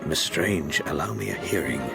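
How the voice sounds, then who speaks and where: Deep, creaky-voiced society gentleman. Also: moderate pace, loud music behind the voice. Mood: anxious, confiding. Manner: formal, indignant, urgent. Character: anxious, sceptical society gentleman, worried father. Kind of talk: radio drama acting.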